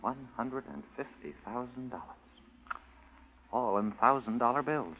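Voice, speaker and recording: An actor playing a slick, mercenary lawyer in a 1940s radio drama, glib and insinuating, on a thin old recording. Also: male voice, mid-range voice, lively intonation, measured pace, phone-quality sound, a little hiss or hum, close to an everyday voice. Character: slick, mercenary male lawyer, brisk and knowing.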